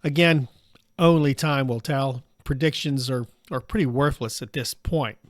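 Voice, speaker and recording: A middle-aged American man hosting a political news commentary show, his voice raspy from allergies, talking in a steady, measured way with frequent "uh" fillers. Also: medium pitch, no room sound, studio quality. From United States. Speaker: show host.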